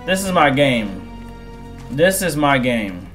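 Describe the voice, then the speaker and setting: A man in his twenties commentating on a game through a headset, excitable and slangy, repeating himself. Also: medium pitch, takes it slowly, soft background music. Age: 20s. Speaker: gaming streamer. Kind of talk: casual gameplay commentary.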